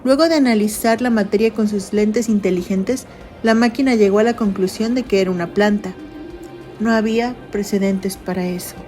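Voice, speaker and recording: A woman's voice: medium pitch, steady tone, normal speed, darkish tone. A woman reading a scripted literary narration for an audio drama. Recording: music bed under voice. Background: background music.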